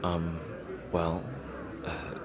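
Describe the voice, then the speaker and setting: A man playing a teasing rival king in an intimate dramatic roleplay, halting and smug yet easily flustered. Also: sits low, completely flat delivery, crowd noise. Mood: polite, flustered. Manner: halting, teasing, with soft chuckles and pauses.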